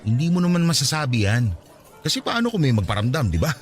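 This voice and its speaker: A man with a Filipino accent playing a cocky but caring young best friend in a radio drama, bantering and expressive. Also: mid-range voice, fast, close to an everyday voice. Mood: encouraging.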